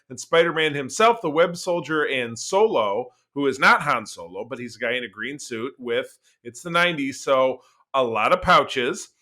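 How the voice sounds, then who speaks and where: Casting: American man, 40s, bright punchy tone on the higher side, exuberant casual podcast banter. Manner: exuberant, joking, rambling. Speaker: podcast co-host. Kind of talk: casual chat.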